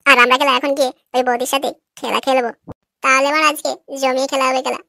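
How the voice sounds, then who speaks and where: A brash young cartoon lad, acted by a performer, with a high, bright voice. He rattles along quickly, cocky and crude, teasing like a street prankster. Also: level tone, vocal fry, no room sound, clean studio sound, exaggerated voice.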